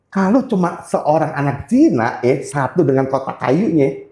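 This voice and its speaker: A man's voice: on the high side, sing-song, a little slow, warm tone. A man with a Jakarta Indonesian accent chatting casually as a podcast guest, colloquial and sprinkled with English phrases. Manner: colloquial, peppers talk with "bos" and English phrases. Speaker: guest, pawnshop business owner. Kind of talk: casual podcast chat, storytelling. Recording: roomy echo.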